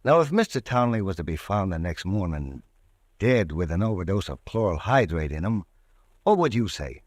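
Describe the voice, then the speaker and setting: A menacing gangster, with a very deep voice and slangy, cold tough-guy talk. The recording is close and dry, in a style of old radio drama. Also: sing-song, normal speed, dry recording. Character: menacing male gangster bribing a clerk. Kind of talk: 1940s radio acting.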